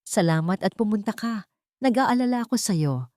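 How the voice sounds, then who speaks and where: A woman's voice: mid-range voice, expressive, moderate pace, a little mellow, no echo, clean studio sound, voice pushed up, close to an everyday voice. Woman voicing a smug, two-faced rich young man. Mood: falsely sweet.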